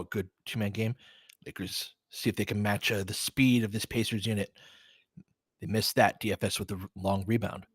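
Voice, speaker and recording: A man delivers live basketball commentary in a medium-pitched voice. His calls come in short, clipped phrases mixed with informal, fan-like remarks. The sound is dry, with no room echo. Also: a bit bright. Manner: clipped running phrases with casual fan-style asides. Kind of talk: live audio play-by-play commentary.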